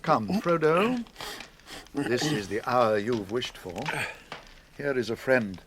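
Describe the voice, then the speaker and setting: A man's voice: mid-range voice, expressive, slow pace, noisy background. A man acting a wise old wizard, stately, kindly and commanding, in a radio drama. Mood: gentle, stately.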